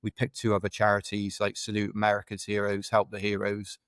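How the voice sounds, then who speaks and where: A man in his forties with a low voice that stays close to one pitch. He talks as a podcast guest, rambling and correcting himself as he goes. The sound is dry and close on the mic. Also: monotone, brisk, no room sound. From Wales. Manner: rambling, self-correcting, chuckles while telling stories. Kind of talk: casual podcast interview.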